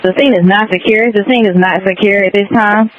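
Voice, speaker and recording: A woman's voice: mid-range voice, steady tone, a little fast. A woman dispatcher giving procedural, repeated alerts to units over radio. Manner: procedural, repeats key phrases. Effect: radio transmission. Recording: muffled radio channel, crowd noise.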